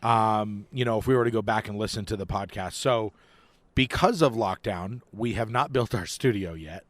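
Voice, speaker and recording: A young man co-hosting a casual podcast, chatty and quick to laugh, recorded outdoors on a porch. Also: lowish voice, no echo. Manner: chatty, laughs often, talks over his co-host. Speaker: podcast co-host. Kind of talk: casual chat.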